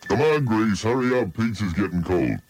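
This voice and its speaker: A man voicing a greedy, pushy male monster living under a bed in a comedy radio bit, with a gravelly, demanding voice. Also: lowish voice, expressive, moderate pace, darkish tone, a little hiss or hum, close to an everyday voice.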